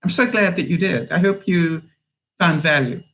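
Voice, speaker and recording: A woman in her seventies, speaking with a British accent softened by years abroad, hosts a live stream and talks to her viewers. She talks slowly and haltingly, with plenty of "you know" fillers, and sounds a little tired. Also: lowish voice, poor audio. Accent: mixed British. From England. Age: 70s. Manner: unhurried, halting, with many fillers. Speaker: livestream host.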